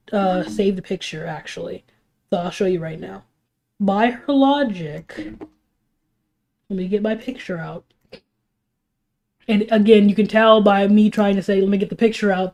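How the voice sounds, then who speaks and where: A young woman, sick and sniffling, ranting on a casual livestream with heated, rambling talk full of restarts and fillers. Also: medium pitch. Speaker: streamer.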